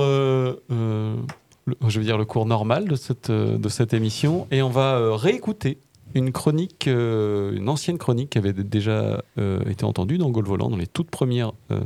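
A man's voice: mid-range voice, gravelly, darkish tone. A man hosting a podcast, talking casually with many hesitations. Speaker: podcast host.

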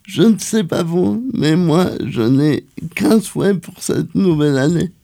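A man reading a cultural events column on local radio, slow and careful, with some hesitations. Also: fairly high pitch, lively intonation, creaky, mellow.

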